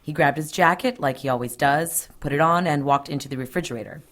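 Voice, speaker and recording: Actress as tough lady cop boss, deep for a woman, dry sarcasm, bossy and curt, audio drama. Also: fairly quick, close to an everyday voice. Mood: businesslike. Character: tough no-nonsense female police detective, sarcastic boss.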